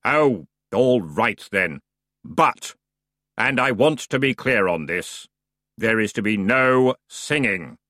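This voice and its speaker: A man's voice: lowish voice, expressive, slow pace, fairly bright tone, no echo, clean studio sound. A man voicing a weary, strict male ferryman of the underworld in a storybook, speaking in a stern, grudging, exasperated manner.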